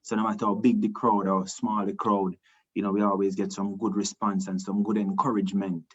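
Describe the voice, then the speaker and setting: A man from Jamaica with a Jamaican accent, a reggae singer answering interview questions in a relaxed, rambling way, full of restarts and "you know". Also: lowish voice, brisk, slightly rough, highs cut off. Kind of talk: casual interview talk.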